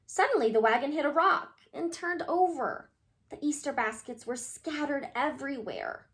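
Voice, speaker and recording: Lady reading a picture book to little kids, high voice, super expressive and swoopy. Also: normal speed. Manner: animated, expressive, exclaiming to young listeners. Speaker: storyteller reading aloud to children. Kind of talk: children's picture book read-aloud.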